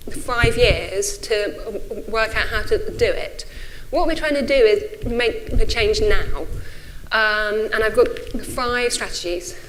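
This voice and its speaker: A woman's voice: fairly high pitch, a bit bright, a little hiss or hum, poor audio. A woman in her forties with a stutter, giving an informal, hesitant and self-effacing symposium talk. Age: 40s. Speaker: speaker, runs a think tank.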